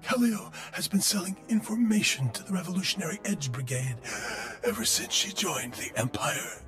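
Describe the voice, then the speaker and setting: Smug, theatrical anarchist boss, lively pitch. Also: male voice, moderate pace, over music. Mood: gloating. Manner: grandiose, mock-courteous, drawling emphasis. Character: smug, theatrical male anarchist cell leader, menacing. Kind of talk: video game voice acting.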